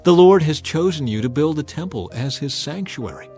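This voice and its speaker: A man's voice: on the high side, lively intonation, normal speed, warm tone, pitched above the performer's own voice. Man acting commanding, declamatory aging king over faint music. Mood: earnest, commanding. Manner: declamatory, earnest, oratorical. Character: solemn, commanding aging king addressing his people. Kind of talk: dramatized scripture reading. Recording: music behind the voice.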